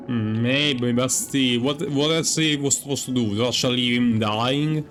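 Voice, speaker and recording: A man's voice: mid-range voice, unhurried, fairly bright tone, faint music behind. Hesitant male gamer thinking aloud. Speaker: gaming streamer. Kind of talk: casual game playthrough commentary.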